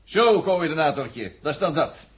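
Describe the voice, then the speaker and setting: Brief: taunting crewman, raised slightly rough voice, cocky slang, vintage sci-fi radio. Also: on the high side, expressive, normal speed. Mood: gleeful menace. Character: brash, jeering male spaceship crewman. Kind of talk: 1960s radio drama acting.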